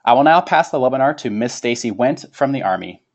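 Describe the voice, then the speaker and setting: A man's voice: medium pitch, lively intonation, a little fast. A man reading a formal scripted webinar presentation as its presenter. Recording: dull lo-fi webinar audio.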